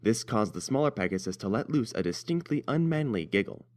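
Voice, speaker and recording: A man's voice: fairly low pitch, very animated, a little fast, darkish tone. A man narrating a scripted story as its reader.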